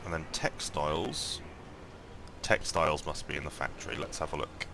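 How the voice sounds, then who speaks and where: A man with a croaky morning voice giving casual gameplay commentary, thinking aloud and rambling with lots of ums and restarts. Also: rumbling low, expressive, normal speed, background noise. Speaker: mobile game let's-play host.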